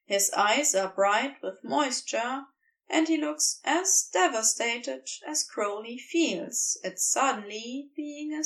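A high-voiced woman narrates a tender piece of fiction at a slow pace. Her reading is gentle and literary, and she sounds set back from the microphone. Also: far from the mic, rough recording. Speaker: fan fiction audiobook reader. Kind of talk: scripted narration of a tender romantic story.

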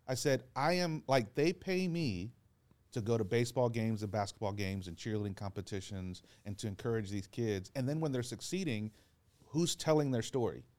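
A man, a pastor, talking earnestly in a relaxed podcast chat, telling stories and acting out what people said. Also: mid-range voice, normal speed. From Georgia, US. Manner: earnest, encouraging storyteller who acts out quotes. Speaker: pastor and guest. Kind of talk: casual podcast conversation.

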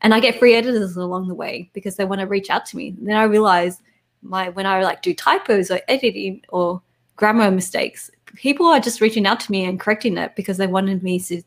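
A woman's voice: medium pitch, fairly quick. A woman giving casual, candid answers as an interview guest, correcting herself as she goes. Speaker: interview guest, online content creator.

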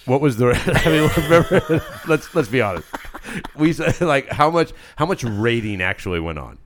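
A man's voice: mid-range voice, big pitch swings, moderate pace. A man hosting a podcast in casual, joking chat, often teasing and chuckling as he talks. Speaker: podcast host.

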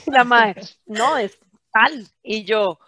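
A young woman with a Costa Rican accent, chatting casually as a podcast co-host and telling animated stories. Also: on the high side, very slow, no echo, studio quality. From Costa Rica. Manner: animated storytelling, mimicking others' slang. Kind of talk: casual chat.

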